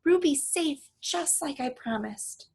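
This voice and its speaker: A woman voicing a gentle, excitable male gorilla with an emotional manner in a storybook reading. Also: high voice, slow, voice pushed up, heavily acted. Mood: hushed, relieved. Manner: emotional, exclaiming and whispering.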